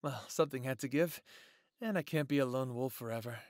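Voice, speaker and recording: A man's voice: mid-range voice, fairly quick, a little mellow, no room sound, studio quality, close to an everyday voice. A man playing an earnest lone male werewolf who longs for family, speaking sincerely in an audio drama. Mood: resolved.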